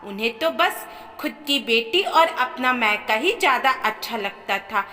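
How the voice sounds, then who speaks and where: A woman tells a family story in a high, very animated voice. Her scripted narration is emphatic and expressive, with a bright edge, and a long reverb trails her words. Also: a little slow.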